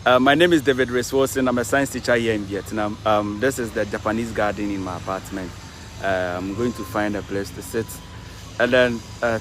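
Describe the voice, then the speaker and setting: A man from Ghana with a Ghanaian English accent, talking through career tips in a measured, explanatory way, often pausing with "uh" and "um". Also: on the low side. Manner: measured, explanatory, frequent "uh" and "um" pauses. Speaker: vlogger, science teacher. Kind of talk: casual advice vlog. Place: outdoors in an apartment garden, later seated.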